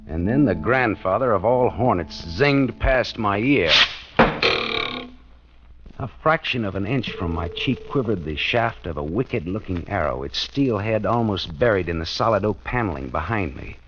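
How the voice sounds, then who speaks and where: Wisecracking private eye, low-pitched, American, dramatic noir delivery, muffled vintage radio drama. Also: male voice, lively intonation, normal speed, fairly bright tone, a little hiss or hum. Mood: wry, suspenseful. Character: wry, hard-boiled male private detective, narrating his own case. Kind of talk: 1940s radio detective drama acting, noir narration. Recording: dull old radio recording with music.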